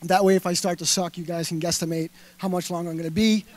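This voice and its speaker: High-voiced Boston man working a crowd. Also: flat delivery, fast. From Massachusetts. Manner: punchy, rhetorical questions to the crowd, filler words. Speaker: speaker at a live talk event. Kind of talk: energetic stage talk to an audience. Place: indoor event hall.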